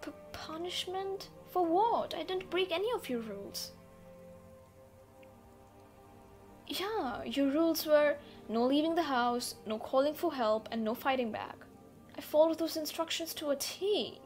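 A woman's voice: high-pitched, animated, normal speed, a little mellow. A woman acting an easygoing, teasing gamer captive in an audio roleplay, speaking in a playful, nonchalant, conversational way. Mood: defensive. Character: easygoing, teasing female gamer held captive, unbothered adult. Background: background music.

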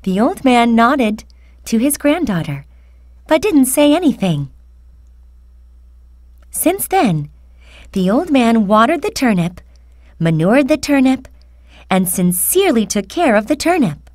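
A woman narrating a children's fairy tale, speaking carefully and deliberately as a storyteller. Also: on the high side, sing-song, darkish tone, no room sound, studio quality. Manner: slow, careful, deliberate enunciation.

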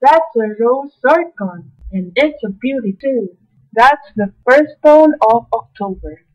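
A woman's voice: a little high, animated, slow pace, darkish tone, poor audio. A woman playing an eager, know-it-all young friend in an amateur radio play, explaining things proudly. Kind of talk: old-time radio play acting by an amateur.

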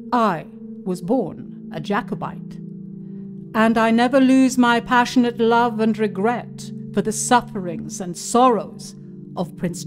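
A woman reading as a passionate Jacobite society lady from an old memoir, wistful and fervent, with measured phrasing, in a dramatic audio story. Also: fairly high pitch. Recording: voice over background music.

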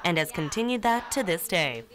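A woman reading a documentary narration in an even, formal manner. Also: fairly low pitch, normal speed, background noise. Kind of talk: scripted narration. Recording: voice-over with music underneath.

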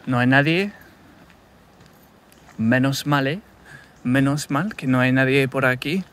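A man in his thirties from England, his native tongue English, talking to himself in a halting, self-correcting way while walking a wooded path, filming on a phone held at arm's length. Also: mid-range voice, a bit bright. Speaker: language learner recording a practice podcast. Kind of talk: casual spoken practice monologue. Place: wooded countryside path outdoors.

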